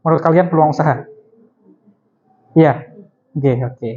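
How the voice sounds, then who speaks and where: A man's voice: fairly high pitch, measured pace, highs cut off, slight background noise. A man teaching a class, prompting students with short questions and repeating their answers.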